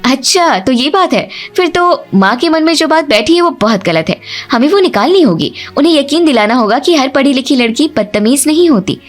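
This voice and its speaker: Young daughter-in-law, cartoon drama female reader: raised pitch, polite, insistent, faint music. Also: lively intonation, brisk, hardly any pauses. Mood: firm persuading.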